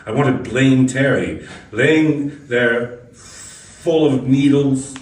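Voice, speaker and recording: A man's voice: medium pitch, takes it slowly. A man reading a first-person short story aloud as scripted narration, now and then stumbling over a word. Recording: echoey room, distant mic, background noise.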